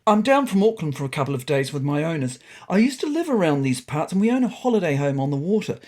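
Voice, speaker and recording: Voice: mid-range voice, sing-song, fast talker, darkish tone. A narrator voicing a confident, opinionated male city cat from a storybook, chatty and salesman-like. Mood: confident, breezy. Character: brash, opinionated, smooth-talking male visiting city cat.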